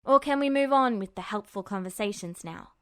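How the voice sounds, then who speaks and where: A woman's voice: medium pitch, expressive, fairly quick, no echo, clean studio sound, pitched below the performer's own voice, natural voice. A woman voicing a brash, hot-tempered young man, blurting his words emphatically in an audiobook. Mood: indignant. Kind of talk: audiobook character voice.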